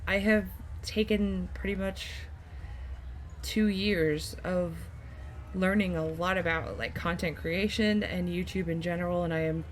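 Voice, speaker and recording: A woman's voice: lowish voice, steady tone, unhurried, slight background noise. A woman doing casual gameplay commentary as a YouTuber, rambling along in a chatty, enthusiastic way. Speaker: gaming YouTuber. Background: game music.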